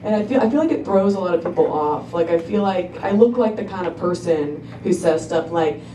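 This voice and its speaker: A young woman performing a stand-up comedy set with a laid-back, halting delivery full of ums. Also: on the low side, expressive, warm tone. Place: comedy club stage. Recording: roomy club recording from a distance, crowd noise. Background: audience laughter.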